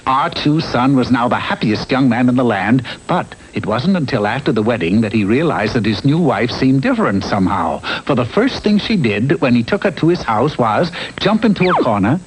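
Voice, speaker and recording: A man narrating a cartoon fairy tale in a measured, theatrical, tongue-in-cheek storybook style. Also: medium pitch, lively intonation, husky. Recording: noisy old TV cartoon soundtrack.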